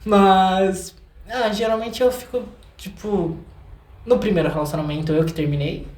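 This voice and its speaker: A young Brazilian man talking casually and candidly as a guest in an interview, with an expressive manner. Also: high-pitched, normal speed. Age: young adult. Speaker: guest, singer and songwriter. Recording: roomy, echoey room sound.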